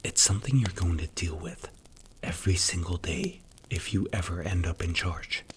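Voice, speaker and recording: A man playing a strict, commanding mafia boss in an intimate ASMR roleplay, speaking softly and breathily with stern, blunt, measured delivery. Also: sits low, expressive, slight background noise. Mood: grave, lecturing.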